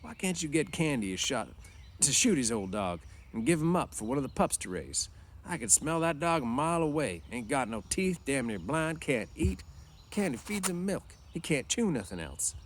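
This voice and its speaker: A man reading aloud, voicing Carlson, a big, blunt, rough-spoken male ranch hand with a folksy drawl. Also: medium pitch, big pitch swings, moderate pace, a little hiss or hum, pitched above the performer's own voice, close to an everyday voice. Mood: blunt, loud.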